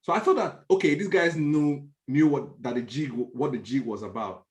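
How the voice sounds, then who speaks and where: A man's voice: a little high, normal speed, a little mellow. A man with a Nigerian accent in a podcast discussion, speaking emphatically and restating his points. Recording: roomy, echoing sound.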